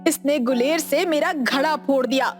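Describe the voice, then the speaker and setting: A man voicing an irate, scolding village woman in an animated children's story. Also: very high-pitched, moderate pace, music in the background, pitched above the performer's own voice. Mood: outraged.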